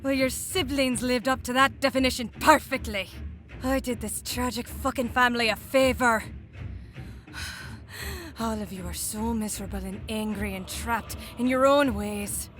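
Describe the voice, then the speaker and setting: Audio drama villainess, played by a woman: cruel immortal enchantress, higher voice, slow taunting delivery. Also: a bit bright, soft background music. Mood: manic taunting. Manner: taunting, theatrical, savoring her words. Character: gloating, cruel immortal enchantress who transforms people into swans.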